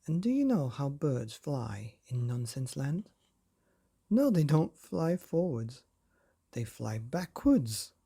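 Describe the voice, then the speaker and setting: Husky, mellow man reading a children's story. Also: mid-range voice, slow pace. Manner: playful, expressive, asks the listener questions. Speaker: bedtime story podcast narrator.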